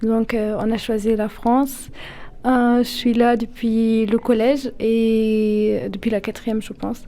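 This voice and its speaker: A teenage girl from Syria, a high school student speaking French casually and with ease. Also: little pitch movement, slow pace, warm tone.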